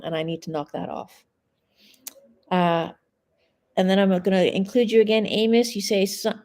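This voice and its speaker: A woman's voice: on the low side, gravelly, mellow. A woman in her forties, a mindset coach, talking frankly and casually to a live audience from home, swearing now and then. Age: 40s. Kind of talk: casual live-stream monologue.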